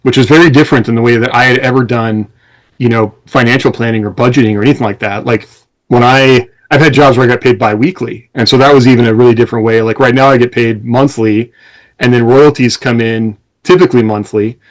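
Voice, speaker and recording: A man's voice: mid-range voice, fairly even intonation, fast, rough recording. A man co-hosting a podcast, talking in a casual, rambling, explanatory way among friends. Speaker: podcast co-host. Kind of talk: casual conversation among co-hosts.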